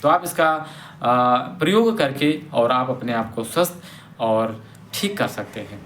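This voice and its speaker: A man's voice: mid-range voice, animated, fairly bright tone. Man explaining home remedies, faint background hiss. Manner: plain and instructive, with many pauses and self-corrections. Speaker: Ayurveda health channel host. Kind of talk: informal explanatory talk to camera.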